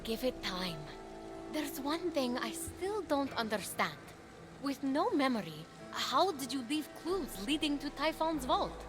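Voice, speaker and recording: A woman's voice: sits high, big pitch swings, unhurried. A woman playing a brave, eager and caring young heroine in a video game cutscene. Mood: earnest. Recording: loud game music underneath.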